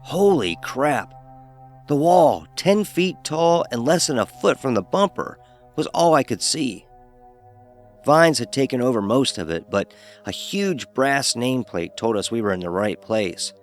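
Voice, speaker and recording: A man's voice: mid-range voice, expressive, a little slow, soft background music, natural voice. A man playing a cocky, sarcastic young fraternity pledge who narrates his own horror story. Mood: tense. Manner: sarcastic, dismissive. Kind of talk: first-person horror story narration with acted dialogue.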